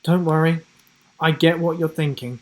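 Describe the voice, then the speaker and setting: A man's voice: a little high, steady tone, moderate pace, lightly acted, rough recording. A man voicing a plucky, sardonic girl adventurer in a children's storybook, with sassy exclamations. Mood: wry, confident.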